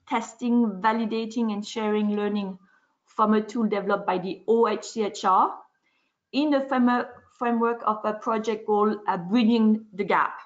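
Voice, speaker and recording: A woman moderating an online webinar, talking quickly with frequent fillers as she introduces the program and speakers. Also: on the high side. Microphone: video call. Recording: dull lo-fi call audio.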